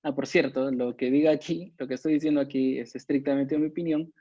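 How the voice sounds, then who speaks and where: A man presents in a mellow, relaxed voice of middling pitch. He talks in an informal lecturing way, halting and pausing often. The sound is dry and a bit dull, like compressed webinar audio. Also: no room sound. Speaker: webinar presenter, competition agency adviser. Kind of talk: informal expert lecture with slides. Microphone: video call microphone.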